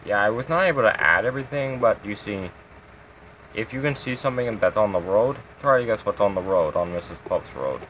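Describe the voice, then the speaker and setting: A man's voice: lowish voice, animated, brisk, fairly bright tone, a little hiss or hum, poor audio. A man hosting his own game channel, giving a casual, rambling tour of his builds with lots of fillers.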